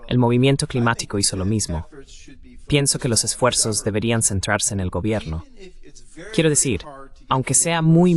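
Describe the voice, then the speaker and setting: A man giving political commentary as a panelist, explaining his view insistently. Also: fairly low pitch.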